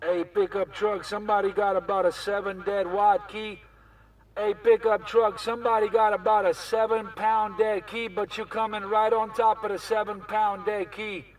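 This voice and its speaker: A man's voice: high-pitched, flat delivery, moderate pace, distant mic, slight background noise. A man working as a CB radio operator, boasting in repeated, chanted catchphrases with showy swagger. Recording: CB radio chatter, off the mic.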